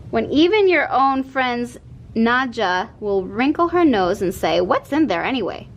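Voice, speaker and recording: A woman narrating a children's picture book in an expressive read-aloud style. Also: a little slow. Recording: noticeable background noise with low rumble.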